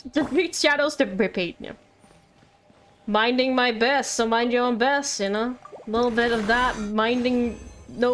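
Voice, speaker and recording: A woman's voice: a little high, a little hiss or hum. A woman live-streaming a game, chatting casually and playfully in a rambling way to viewers and herself. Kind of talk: casual livestream chat while playing a game. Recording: background music behind voice. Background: game music.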